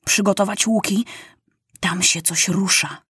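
A woman's voice: mid-range voice, slightly rough. A woman voicing a brave, eager young girl hunter who fires off questions and barks orders. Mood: commanding. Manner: excited rapid-fire questioning, commanding shouts.

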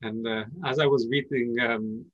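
A man who is a native Persian speaker, answering interview questions as a company founder in a conversational, explanatory way with pauses mid-phrase. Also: medium pitch, steady tone, a bit bright, no room sound, lo-fi sound.

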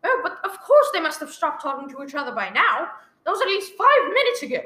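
A woman's voice: extremely high pitch, very animated, fast talker, a bit bright, full character voice. A woman playing an anxious, imperious, bedridden wife in a radio thriller, stammering and easily exasperated. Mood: urgent. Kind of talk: old radio thriller acting. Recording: roomy echo, live one-take recording.

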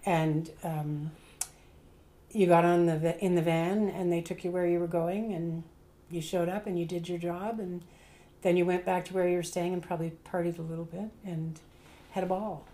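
An older Canadian woman, a veteran stage actor, casually telling rambling, animated stories in an interview and often breaking off to correct herself. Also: lowish voice, moderate pace. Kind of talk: casual reminiscing, storytelling.

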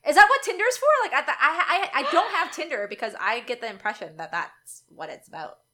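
A young woman co-hosting a casual podcast chat, candid and quick to laugh. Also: high-pitched, lively intonation, bright, forceful tone. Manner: chatty, candid, laughs often. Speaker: podcast co-host.

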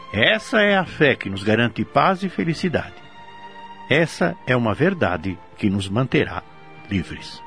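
Very expressive Brazilian male religious commentator. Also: fairly high pitch. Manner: formal, didactic. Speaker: commentator on spiritist teaching.

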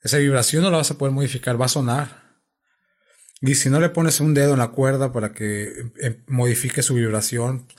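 A man's voice: medium pitch, a little mellow. A man, a guest sound engineer in a music studio, patiently explaining synthesizers and sound, repeating and restarting words as he thinks.

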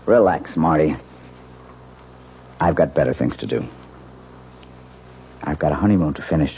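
An actor playing a wronged husband in a 1970s radio drama, laconic, dry and quietly threatening. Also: male voice, very deep voice, animated, normal speed. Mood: dry menace. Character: calm, wounded husband, quietly menacing. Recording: dull, noisy 1970s radio recording.

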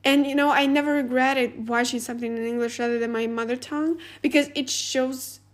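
High-voiced woman. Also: fairly quick. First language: Russian. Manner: chatty, animated, laughs often, many "like" fillers. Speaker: podcast host. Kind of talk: casual advice monologue.

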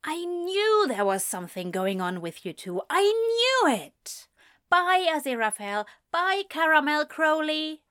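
A woman's voice: high voice, sing-song, a little slow, fairly bright tone, no room sound, studio quality, heavily acted. A woman voicing a giddy, gossipy, cheeky young angel who blurts things out in an acted audio story. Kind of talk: audio fan fiction character acting.

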